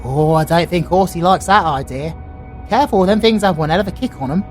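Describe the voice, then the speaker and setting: A woman's voice: lowish voice, brisk, faint music behind, lightly acted. A woman voicing a chatty, breezy wandering traveller in a fantasy audio drama. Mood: cheeky. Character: cheerful wandering traveller with a horse.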